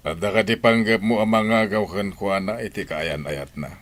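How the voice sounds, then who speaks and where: A man's voice: fairly low pitch, moderate pace, a bit bright, lightly acted. A man with an Ilocano Filipino accent playing a stern village father in a radio drama. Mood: stern, probing. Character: stern village father questioning his grown son.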